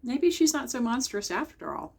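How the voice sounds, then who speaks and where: A woman's voice: a little high, very animated, normal speed, creaky, breathy edge. A woman hosting a children's storytime, reading a picture book aloud and chatting directly to young listeners. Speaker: library storytime host.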